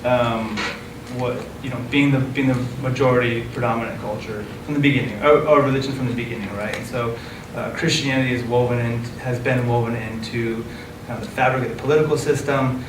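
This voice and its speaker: A man hosting an interview in a relaxed way, with frequent uhs. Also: medium pitch, fairly quick. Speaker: interview host. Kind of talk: conversational interview. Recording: roomy, from a distance, background noise.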